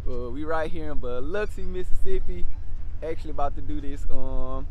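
A man's voice: fairly high pitch, moderate pace, slight background noise. A young man hosting a vlog in casual, slangy chat. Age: young adult. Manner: informal, slangy. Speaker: vlogger and host. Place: outdoors, open waterfront lot.

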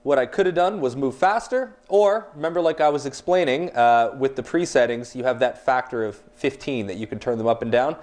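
A man's voice: medium pitch, fairly quick, few pauses. Laid-back man explaining a welding machine. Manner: relaxed, plain-spoken shop talk with fillers. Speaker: welding demonstrator. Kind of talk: casual product demonstration. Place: welding workshop, indoors.

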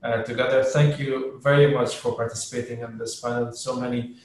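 A man's voice: medium pitch, flat delivery, a little fast. A middle-aged man moderating a panel on a video call, polite and formal. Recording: very echoey room on a video call.

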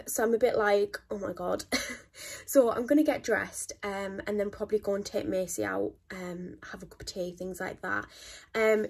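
Brief: woman, twenties, casual beauty vlogger, stuffy from a cold, a little husky, rambling chat filled with ums and likes, close phone mic in a small home office. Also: mid-range voice. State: congested with a cold.

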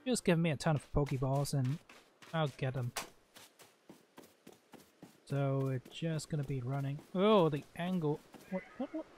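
A man's voice: a little high, measured pace, pauses often, a little mellow, faint music behind. A man giving casual, rambling and blunt commentary as he hosts a gameplay video. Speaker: gaming video host playing and commenting.

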